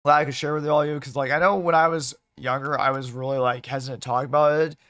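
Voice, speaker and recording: A man with a slightly hoarse voice chatting casually as a livestream host, in a halting way with repeated and restarted phrases. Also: medium pitch, steady tone, a bit bright, no echo, studio quality.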